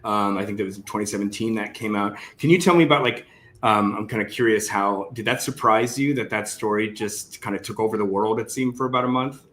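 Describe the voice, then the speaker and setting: A man's voice: lowish voice, a little fast, fairly bright tone. A man hosting a conversational interview, asking questions in a loose, informal way with plenty of hedging. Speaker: interview host, writer. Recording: clearly audible background noise.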